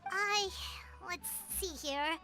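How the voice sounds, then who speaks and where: A woman voicing a small, chirpy, anxious childlike fairy companion with a squeaky voice in an anime video game. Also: extremely slow, pushed and bright, faint music behind, heavily acted. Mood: hesitant, thoughtful. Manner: chatty, refers to self in third person.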